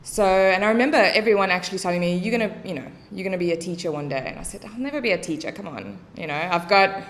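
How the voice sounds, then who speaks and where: A young woman in her twenties with a South African accent, chatting as a podcast guest, laughing easily and filling pauses with "um". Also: medium pitch, a bit bright. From Cape Town, South Africa. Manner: chatty, laughs often, many fillers. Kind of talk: casual interview.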